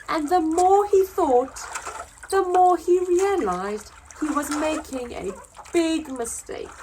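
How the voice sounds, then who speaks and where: A woman reading a picture book aloud to young children as a story time host, expressive and deliberate, drawing out words. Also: extremely high pitch, slight background noise.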